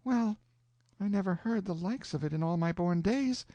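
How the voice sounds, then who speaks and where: A man's voice: sits high, lively intonation, normal speed, dark tone, dry recording, voice pushed up. Rich: A fussy old country aunt, all scolding and exclamations, as a male narrator puts her on in a lifted, swooping voice. The reading is close and dry, with no room around it.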